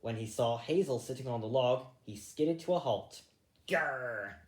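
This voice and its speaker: A man reading a children's story aloud, a little halting, going back over misread phrases. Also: mid-range voice, mellow, roomy sound. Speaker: storybook reader.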